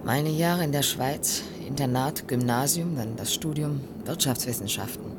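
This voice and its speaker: A woman's voice: a bass voice, moderate pace, background noise. An actress playing a proud, wary young businesswoman in a German radio play, cool and pointed in her delivery. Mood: proud, bitter. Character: proud, wary young businesswoman seeking independence from rich father.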